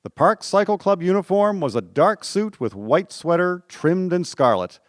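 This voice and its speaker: A man's voice: sits high, expressive, moderate pace, no room sound, studio quality. A man narrating a radio drama from a script, as a historical storyteller. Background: acoustic guitar music.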